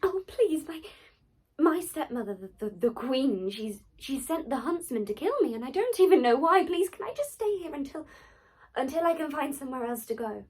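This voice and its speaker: A woman's voice: high voice, very animated, moderate pace, a little mellow, voice pushed up. A woman voicing an innocent, frightened young princess, speaking in a breathless, hesitant, pleading way. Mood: anxious pleading.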